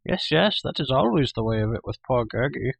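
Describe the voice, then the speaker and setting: A man's voice: mid-range voice, animated, a little fast, vocal fry. A man voicing a hairy, half-animal storybook creature who wails, pleads and fawns hungrily. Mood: wailing, pitiful. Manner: wailing, pleading, fawning, speaks of himself in third person. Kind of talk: storybook character voice.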